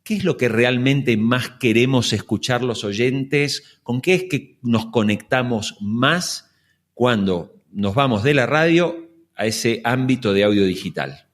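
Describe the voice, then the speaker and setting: A man's voice: medium pitch, expressive, slow. Male podcast host and interviewer, mid-range voice, conversational delivery that speaks straight to the listener.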